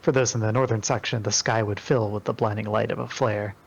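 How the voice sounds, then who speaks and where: A man's voice: on the low side, steady tone, brisk, vocal fry, slightly rough. A man in a group reading, moving between reading aloud and casual chat. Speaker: participant and narrator in a group reading. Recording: dull, lo-fi microphone sound.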